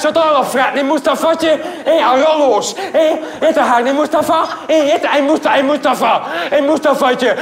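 Man acting a high, pushy, needling Flemish neighbour. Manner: shouty, repetitive, needling. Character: overbearing, teasing neighbour, relentlessly badgering. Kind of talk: stand-up character bit. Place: large theatre stage.

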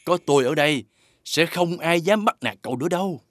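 Casting: male actor for a sly talking gecko in a cartoon, insinuating mentor, highly animated delivery, raised pitch, music underneath. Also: a little fast, lightly acted. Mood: coaxing, encouraging. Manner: insinuating, coaxing, with theatrical emphasis. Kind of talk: animated fairy tale voice acting.

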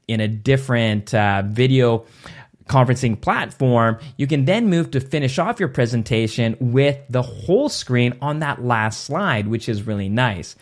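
A man in his thirties hosting a screen-recorded software tutorial, explaining step by step in a casual way, recorded in a small home studio on a boom mic. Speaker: tutorial host. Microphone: boom mic on arm near face.

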